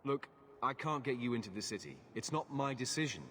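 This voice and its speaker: An actor playing a stern, weary guard captain in a video game, with a curt, commanding manner. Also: male voice, medium pitch, lively intonation, brisk, bright, forceful tone, slight background noise. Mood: grudging thanks. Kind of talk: video game drama acting.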